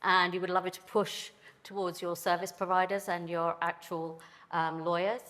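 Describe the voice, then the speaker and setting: A woman in her fifties speaking as an immigration panelist, measured and explanatory. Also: lowish voice, flat delivery, a bit bright. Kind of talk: conference panel answers. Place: conference hall panel. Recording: long hall reverb.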